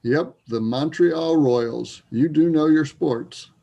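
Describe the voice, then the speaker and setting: A man playing a wry, troubled, grieving Canadian sports fan in a readers theater piece, with a gravelly voice. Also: a little high, slow, warm tone, muffled recording, heavily acted. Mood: wry, teasing.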